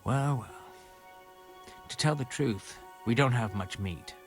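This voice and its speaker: A man acting a good-humoured, gruff medieval camp quartermaster in a video game, chummy and colloquial. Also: mid-range voice, slow pace, soft background music, natural voice. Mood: wry, matter-of-fact.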